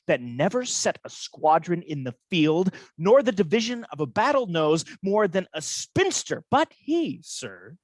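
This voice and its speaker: A man's voice: fairly high pitch, no echo. A man playing a bitter, scheming military ensign in a Shakespeare radio play, theatrical and sardonic. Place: recorded at home over a video call.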